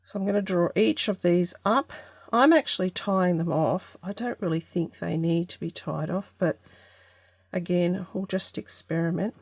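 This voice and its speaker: A woman with a slightly low, congested voice hosts a relaxed craft tutorial. She speaks unhurriedly and tentatively, thinking aloud as she goes. The sound is dry, with no room echo. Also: normal speed, husky. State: congested from allergy. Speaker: craft channel host. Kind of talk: casual craft tutorial vlog.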